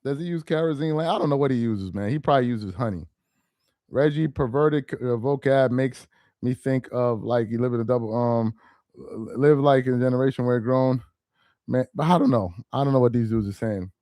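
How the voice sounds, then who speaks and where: A man's voice: medium pitch, a little fast, no room sound, clean studio sound. A young man hosting a casual livestream, reading viewer comments aloud, laughing and exasperated, talking straight to his chat. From Nigerian heritage. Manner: animated, laughing, exasperated, talks to chat directly.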